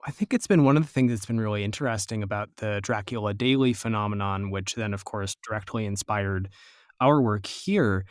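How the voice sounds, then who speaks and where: A man's voice: lowish voice, brisk, clean tone, dry recording, studio quality. A man with an American accent, hosting a podcast interview in a conversational style. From United States.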